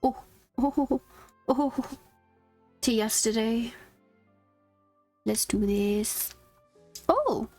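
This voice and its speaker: A woman's voice: fairly high pitch, takes it slowly, pauses often, a little mellow. A woman streaming a game, giving casual, chatty live commentary and reading along. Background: game music.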